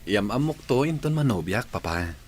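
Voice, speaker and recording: Guy doing earnest ilocano son in love, radio play, low voice, slight background noise. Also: very animated, moderate pace, a little mellow. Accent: Filipino, Ilocano. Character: earnest, lovestruck grown son confiding in his father. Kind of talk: Ilocano radio drama acting.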